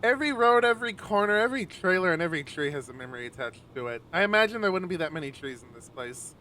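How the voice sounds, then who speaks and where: A man livestreaming a visual novel, mixing expressive, sweary casual chat with reading the narration aloud. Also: high voice, fast talker, a little hiss or hum.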